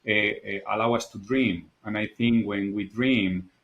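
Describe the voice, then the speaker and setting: A man from Spain speaks English with a clear Spanish accent, his voice sitting a little low. He answers podcast questions in an earnest, reflective way, often circling back on a word before he finds the next. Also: fairly even intonation, measured pace, lo-fi sound. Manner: thoughtful, earnest, repeats words while searching for them. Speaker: guest, architect and designer. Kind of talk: candid podcast interview.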